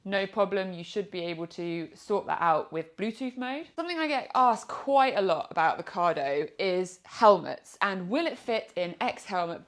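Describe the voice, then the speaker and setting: British woman, slightly low voice, chatty explainer, informal vlog-style product review. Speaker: product reviewer and motorcycle vlogger.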